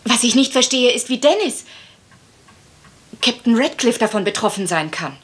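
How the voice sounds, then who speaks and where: A woman's voice: a little high, lively intonation, normal speed, a bit bright. A woman playing a troubled, wistful lady of a manor house in a radio crime drama, polite and hesitant. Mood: recounting, uneasy.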